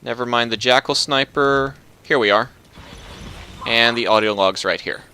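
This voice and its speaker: A man narrating a video game walkthrough in a relaxed, instructive, matter-of-fact way. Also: medium pitch, moderate pace, slight background noise. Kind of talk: casual gameplay commentary.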